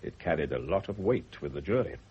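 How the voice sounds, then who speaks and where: An actor playing a shrewd, dry, unflappable family doctor in a classic radio drama, measured and pointedly probing. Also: male voice, deep voice, expressive, breathy edge, full character voice. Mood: insistent. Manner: measured, wry, pointedly philosophical. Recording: muffled, noisy old radio recording.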